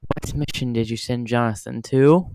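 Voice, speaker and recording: A man's voice: mid-range voice, steady tone, normal speed, darkish tone, a little hiss or hum, natural voice, rough recording. A man acting in an amateur dramatic reading as a defiant, protective woman who confronts a villain. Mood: defiant, accusing.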